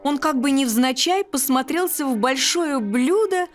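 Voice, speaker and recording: A woman storyteller narrating a children's bedtime tale in an expressive, articulate way. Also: high voice, measured pace.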